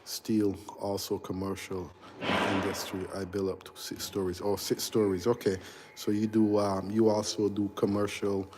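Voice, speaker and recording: A man in his forties, an electrical contractor hosting a casual live stream as he walks through a big unfinished house, answering viewers in a halting, chatty way full of ums and uhs. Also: fairly low pitch, little pitch movement, noisy background. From Barbados. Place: large unfinished house under construction, indoors.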